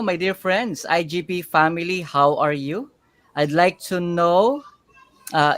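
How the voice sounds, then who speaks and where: A man's voice: on the high side, a bit bright, dry recording. A man from the Philippines, a leadership coach, giving a live webinar talk in an upbeat way and speaking straight to the audience. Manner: upbeat, engaging, talks to the audience directly.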